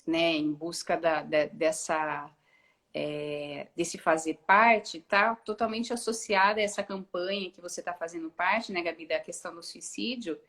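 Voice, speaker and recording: A woman's voice: lowish voice, steady tone, measured pace, a bit bright. A woman talking as a guest in a live-streamed video call, thinking aloud with frequent hesitations and restarts. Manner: reflective, many hesitations and restarts. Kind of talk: casual live-stream conversation.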